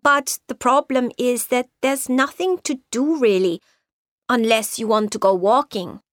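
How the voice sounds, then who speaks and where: An actress reading a scripted listening exercise as a young German woman working in a gift shop, in a slow, clear, carefully paced delivery. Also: fairly high pitch, dry recording, studio quality, lightly acted. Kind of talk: scripted textbook listening monologue.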